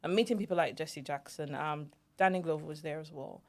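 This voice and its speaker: A woman's voice: low voice, a little fast. A young adult woman, a podcast co-host, telling a reflective story in casual chat and acting out the voices she remembers.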